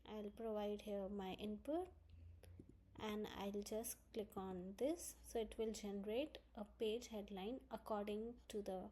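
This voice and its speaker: A woman presenting a software tutorial, explaining each step in instructional narration. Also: medium pitch, steady tone, a little slow. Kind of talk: screen-recorded software walkthrough, instructional narration.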